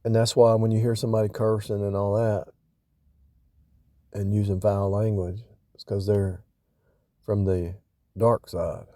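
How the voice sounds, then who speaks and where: A man's voice: lowish voice, level tone, measured pace, long pauses, dark tone, dry recording, clean studio sound. A man giving casual, off-the-cuff spiritual teaching in a rambling way, repeating and rephrasing himself for emphasis. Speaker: religious vlogger.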